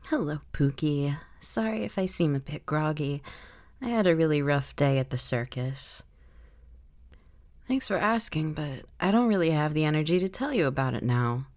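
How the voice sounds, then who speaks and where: A woman's voice: sits low, lively intonation, moderate pace, a little mellow. A woman playing a doting werewolf girlfriend in a comedic ASMR roleplay, gushing in baby talk with pet names. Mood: groggy, affectionate. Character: doting, sugary werewolf girlfriend home tired from work.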